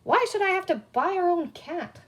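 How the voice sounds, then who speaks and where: Storybook role: woman playing a small boy adventurer, high voice, earnest and easily put out. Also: expressive, normal speed, a bit bright. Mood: musing, cross. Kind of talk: storybook character voice.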